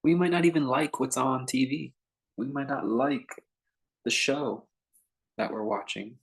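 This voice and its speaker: Man leading an unhurried guided meditation. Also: medium pitch, creaky. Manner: unhurried, gentle pauses between short phrases. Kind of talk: unscripted guided meditation talk.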